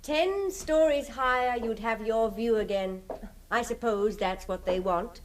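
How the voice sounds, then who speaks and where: An actress playing a refined, perceptive lady in a radio drama, speaking in a measured and wry way. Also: fairly high pitch, distant mic, slight background noise, close to an everyday voice, low-quality recording. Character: poised, wry, perceptive lady friend of a gentleman.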